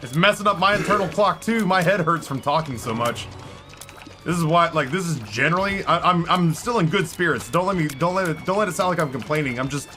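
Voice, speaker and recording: A man in his thirties streaming and commenting on a game in a rambling, self-mocking way, his voice hoarse after hours of talking. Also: a little high, expressive, brisk, sharp tone, soft background music. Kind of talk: live gameplay commentary. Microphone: headset with headphones.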